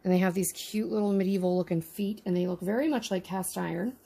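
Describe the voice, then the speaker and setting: A woman's voice: mid-range voice, quick pace, gravelly, mellow, slightly rough. A woman casually narrating a miniature unboxing with chatty, wry asides. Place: indoors at a tabletop.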